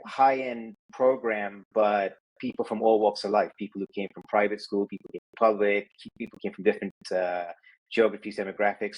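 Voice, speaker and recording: A man from Kenya, a podcast guest, telling his life story in a thoughtful, meandering way, often pausing on "you know". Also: lowish voice, low-quality recording. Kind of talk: reflective conversational storytelling. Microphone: video call.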